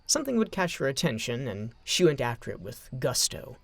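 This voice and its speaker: Story narrator, male: expressive delivery with vocal fry, very dry recording. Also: mid-range voice, brisk, no room sound.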